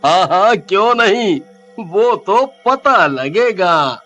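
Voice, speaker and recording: A man playing a cocky, mocking young man in a Hindi audio story, with drawn-out, exaggerated, taunting delivery. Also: sits high, lively intonation, bright, forceful tone, faint music behind, heavily acted.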